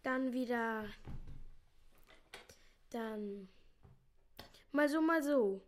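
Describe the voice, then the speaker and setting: High, slow, cocky schoolboy. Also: female voice. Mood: unsure. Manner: hesitant, then cheeky. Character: dreamy, cocky village schoolboy, bright and halting. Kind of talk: radio drama acting.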